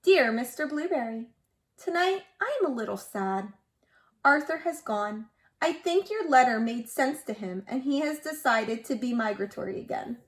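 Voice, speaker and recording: A woman's voice: a little high, expressive, moderate pace, close to an everyday voice. A woman voicing an earnest young girl writing letters in a picture-book read-aloud. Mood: a little sad.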